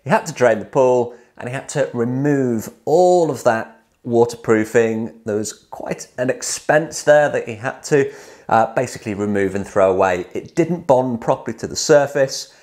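A man's voice: mid-range voice, normal speed, a little mellow. A man in his forties with a British accent talks straight into a close mic in a clean studio. He has a chatty, upbeat, slightly salesy way of explaining things, as if he is walking a viewer through a build. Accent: British English. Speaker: DIY hot tub course creator and channel host. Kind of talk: direct-to-camera explainer.

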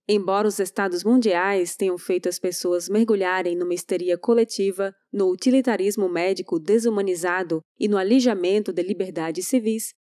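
A woman's voice: medium pitch, darkish tone, no echo, clean studio sound. A woman narrating a scripted video essay in a polemical, emphatic manner. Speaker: channel narrator.

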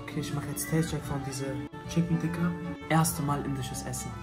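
A man's voice: fairly high pitch, fairly even intonation, fairly quick, loud music behind the voice. A young man from Bangladesh chatting casually in a vlog, joking and teasing with street slang, filmed on a handheld phone. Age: young adult. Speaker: vlogger.

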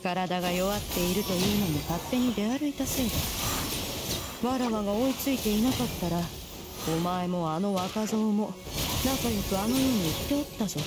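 A woman's voice: medium pitch, normal speed, close to an everyday voice, low-quality recording. An actress playing a proud, stern immortal crane in human woman's form, speaking in a lofty, archaic, formal way in a scripted game story. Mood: scolding. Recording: loud game music behind the voice. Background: game music.

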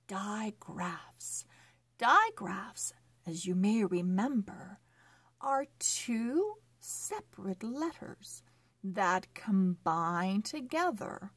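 A woman hosting a children's read-along phonics lesson, speaking in a sing-song, encouraging way with deliberate, exaggerated enunciation. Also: mid-range voice, slightly rough, dry recording.

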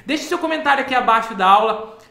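A man's voice: extremely high pitch, fast talker, creaky. A man in his thirties, a memory-course teacher, explaining a topic directly to camera in a small office. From Brazil. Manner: animated, gestures a lot while explaining. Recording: echoey room.

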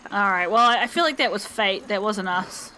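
A woman's voice: medium pitch, normal speed, pushed and bright, a little hiss or hum, low-quality recording. A woman playing a game with a friend, chatting casually with wry, playful asides. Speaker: game player. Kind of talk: casual co-op gameplay chat.

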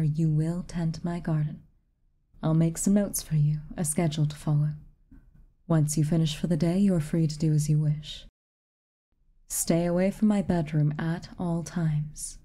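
A haughty, reclusive witch, played by a woman in an intimate ASMR roleplay. Her voice is low and very dark, soft enough to slip toward breathiness. She speaks in clipped, commanding phrases, stern on the surface with a hidden kindness. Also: steady tone, measured pace. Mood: aloof, stern. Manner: aloof, clipped, commanding, occasionally breathy near-whisper. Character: haughty, reclusive tsundere witch, stern but secretly kind.

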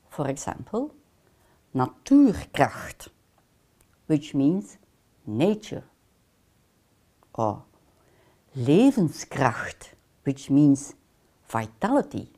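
An older woman with a Dutch accent, a native Dutch speaker, giving a measured, deliberate online course lecture while seated in a small room. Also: low voice, a little mellow. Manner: measured, deliberate, gestures with her hands. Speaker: counseling lecturer. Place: small lamp-lit room, seated in armchair.

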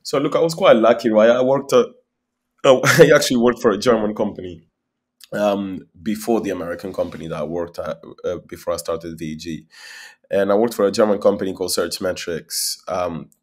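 A man's voice: fairly low pitch, fairly quick. A young man from Spain, a company founder, talking at length in a video call interview and often repeating words as he thinks. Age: young adult. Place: small room at home, indoors. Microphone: laptop webcam mic on a video call.

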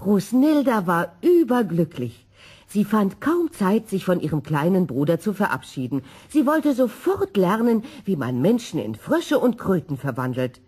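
A woman narrating a scripted children's story in an expressive, dramatic manner. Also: medium pitch, slightly rough.

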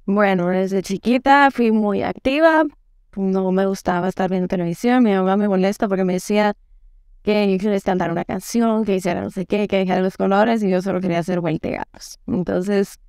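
A woman. She answers interview questions in a calm, friendly storytelling manner. Her voice is smooth and clear, and the close lapel mic keeps it dry. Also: medium pitch, brisk, no room sound. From Guatemala. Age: 30s. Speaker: guest, figure skating coach. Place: studio set.

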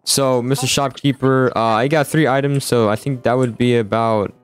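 A man's voice: medium pitch, steady tone, normal speed, clean tone. A young man chatting casually in an improvised game roleplay, rambling and repeating his phrases with stammering starts. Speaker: host of a gaming roleplay. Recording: music bed under the voice. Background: background music.

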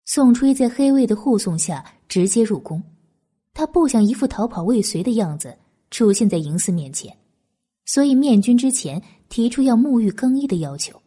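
Female audiobook narrator, highish and expressive, husky edge, dramatic period fiction. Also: moderate pace. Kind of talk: scripted dramatic novel narration.

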